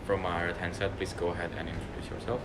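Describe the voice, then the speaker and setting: A young man with a very low voice moderates a student discussion. He is recorded in a noisy room with a steady hum behind him. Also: quick pace. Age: young adult. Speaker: discussion leader.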